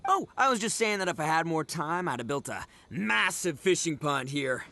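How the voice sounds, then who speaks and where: An actor playing a boastful, excitable young man obsessed with fishing in an anime game, bragging emphatically. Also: on the high side, moderate pace, slight background noise.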